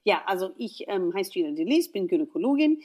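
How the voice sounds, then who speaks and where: A woman's voice: medium pitch, brisk. Cheerful woman chatting in German. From USA. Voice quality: lively, laughs often, many fillers. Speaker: gynecologist guest. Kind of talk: casual podcast chat.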